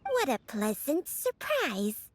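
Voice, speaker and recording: High, slow-talking childlike helper. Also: female voice, huge pitch swings, a little hiss or hum, full character voice. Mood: pleased. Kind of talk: video game character dialogue.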